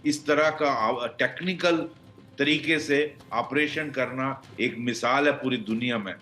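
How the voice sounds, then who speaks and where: A man giving unscripted expert analysis on a news panel, halting as he searches for words and mixing in English phrases. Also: medium pitch, sharp tone, low-quality recording. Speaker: expert guest, former senior police officer.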